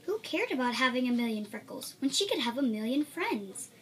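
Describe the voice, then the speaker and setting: A woman reading a children's storybook aloud in an expressive way, acting out the emphatic words. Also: a little high, fairly quick, rough recording. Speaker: storybook reader.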